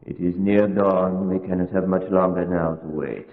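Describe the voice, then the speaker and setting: Older vampire hunter, low voice, solemn, deliberate, echoey tinny radio horror. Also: male voice, fairly even intonation, faint music behind. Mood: grave, patient. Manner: deliberate, solemn, foreign syntax. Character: grave older foreign professor, insistent, fatherly vampire hunter. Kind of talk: old-time radio horror acting. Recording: tinny vintage radio with long echoing reverb.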